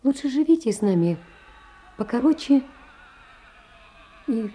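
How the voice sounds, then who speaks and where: A woman's voice: on the high side, lively intonation, unhurried, dark tone, breathy edge. An actress playing a poor young woman, anxious and tenderly caring, pleading earnestly and often sinking toward a whisper in an audio drama. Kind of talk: theatrical reading of letters in a stage radio play.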